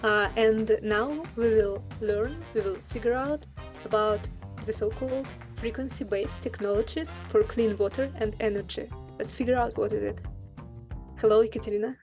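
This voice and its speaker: A woman's voice: fairly high pitch, fairly even intonation, moderate pace, darkish tone, soft background music. A woman moderating an online conference, introducing a guest in a formal way with some fillers.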